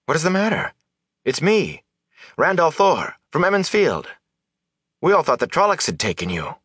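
A man's voice: on the high side, sing-song, moderate pace, fairly bright tone, slightly rough, dry recording, clean studio sound, voice pushed up, heavily acted. A man voicing a young village man in an audiobook, earnest and concerned, with a friendly, reassuring manner. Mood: hesitant, concerned. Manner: hesitant, eager, reassuring.

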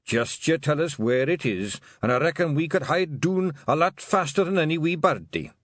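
A man's voice: on the high side, lively intonation, moderate pace, fairly bright tone, no echo, voice pushed up. A man doing an eager Scottish pixie. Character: excitable male Scottish pixie warrior.

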